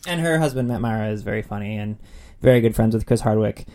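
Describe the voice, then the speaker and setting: A man's voice: fairly low pitch, quick pace, mellow. A man co-hosting a podcast in a casual, enthusiastic chat. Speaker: podcast co-host.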